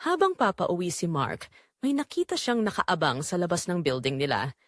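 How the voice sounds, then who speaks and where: A woman's voice: lowish voice, huge pitch swings, moderate pace, no room sound, studio quality. A woman with a Filipino accent narrating a scripted drama story in a dramatic style.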